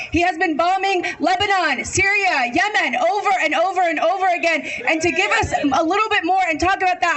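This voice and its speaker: A woman organizing an outdoor rally, leading chants and introducing a speaker, talking forcefully to the crowd. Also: very high-pitched. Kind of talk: shouted chants and rally speech. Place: outdoor public square.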